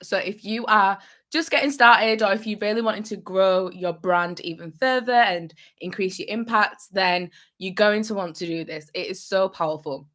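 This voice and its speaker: A woman's voice: mid-range voice, moderate pace, a bit bright. A young adult woman, a business coach, giving upbeat, encouraging advice on a live vlog and repeating phrases for emphasis.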